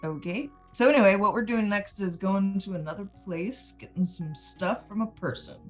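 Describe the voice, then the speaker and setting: A woman's voice: medium pitch, moderate pace. A woman playing a tabletop roleplaying game, improvising dialogue in a casual conversational way. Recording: music running behind the voice.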